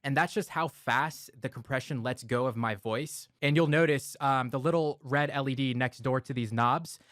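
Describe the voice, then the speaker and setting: A man of medium pitch walks through studio gear in a relaxed, step-by-step way, with the odd filler word. He talks close into a broadcast mic in a home studio, and the sound is dry with no room echo. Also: normal speed. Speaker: audio gear reviewer. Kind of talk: explanatory gear walkthrough. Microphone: close broadcast dynamic mic.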